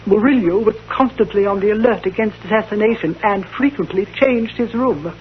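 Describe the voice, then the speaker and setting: An actress playing a grieving, vengeful diplomat's widow in a radio drama, speaking formally and with dramatic passion. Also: female voice, mid-range voice, brisk, slight background noise, close to an everyday voice. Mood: tense recounting. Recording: dull old radio recording.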